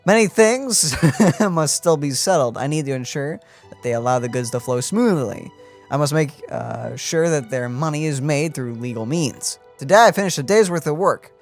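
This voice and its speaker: Young man reading game text aloud. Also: a little high. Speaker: gaming streamer. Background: video game music.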